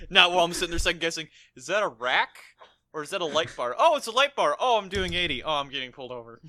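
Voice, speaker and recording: A man co-hosting a casual podcast, blunt, argumentative and quick to swear. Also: a little high, expressive, pushed and bright. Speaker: podcast co-host. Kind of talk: casual chat, movie review.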